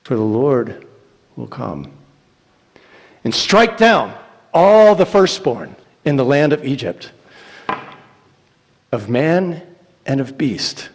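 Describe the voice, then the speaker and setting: A man giving a solemn, declamatory dramatic reading of scripture in deliberate phrases. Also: mid-range voice, sing-song, breathy edge. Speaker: church reader reciting scripture. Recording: echoey hall with long reverb.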